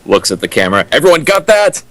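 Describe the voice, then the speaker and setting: A man's voice: on the high side, sing-song, a bit bright, no room sound. Man, co-host on a fanfic riff, dry wit, close and dead-sounding recording. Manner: dry, quick wisecracks. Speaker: co-host riffing a fan fiction. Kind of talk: comedic commentary.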